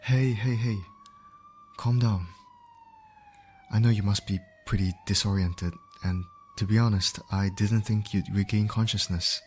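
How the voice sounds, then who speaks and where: A man's voice: lowish voice, fairly even intonation, measured pace, a little mellow, slight background noise, lightly acted. A man acting a caring field medic in an ASMR roleplay, speaking directly and reassuringly to an injured patient. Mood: soothing, concerned. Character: male field medic, calm, caring, urgent under pressure.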